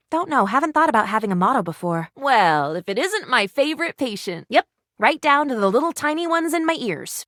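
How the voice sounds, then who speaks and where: A woman's voice: on the high side, fairly bright tone, dry recording, studio quality. A woman voicing an eccentric, eager medical student in a video game, with a precise, wordy, earnestly awkward way of talking. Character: eccentric, eager female medical student, a household object come to life. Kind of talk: animated video game character dialogue.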